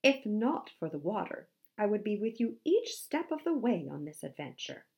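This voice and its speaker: A woman's voice: medium pitch, big pitch swings, moderate pace, darkish tone, pitched above the performer's own voice. A woman voicing a vain, pompous and reluctant male musk ox in a storybook, with a grandiose, put-upon manner. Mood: boastful.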